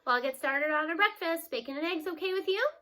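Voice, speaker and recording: A woman's voice: high voice, expressive, fast, pushed and bright, pitched above the performer's own voice. A woman voicing a spunky, sly old grandmother in a storybook, talking in folksy old-fashioned phrases.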